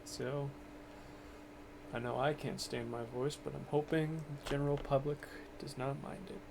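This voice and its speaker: Gravelly monotone man streaming at home. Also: moderate pace. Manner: rambling, low-key and self-deprecating. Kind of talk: casual gameplay commentary. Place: home, kept quiet for others in the house. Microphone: cheap microphone. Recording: noisy cheap mic with game sound.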